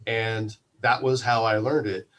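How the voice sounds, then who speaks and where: Man, fairly low voice, discursive, thinking aloud, casual podcast interview guest. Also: level tone, measured pace, fairly bright tone. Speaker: podcast guest, radio station manager.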